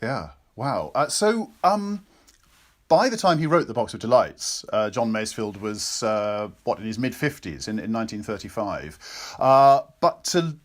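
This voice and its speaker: A man's voice: lowish voice, animated, unhurried. A man with a British accent hosting an interview, speaking in an effusive, articulate way as he introduces and questions a guest. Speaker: interviewer and actor. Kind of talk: warm introduction and interview, semi-prepared.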